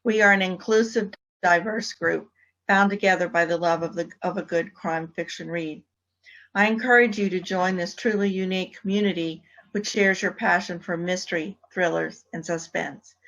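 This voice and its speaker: A woman's voice: on the low side, fairly even intonation, moderate pace, a bit bright. A woman reading a scripted introduction as a program announcer. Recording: slightly muffled, dull sound.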